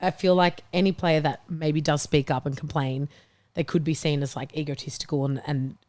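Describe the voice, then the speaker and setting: A woman's voice: low-pitched, fairly even intonation, moderate pace, darkish tone. Female football panelist, casual conversational delivery. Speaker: football talk show panelist. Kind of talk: casual chat.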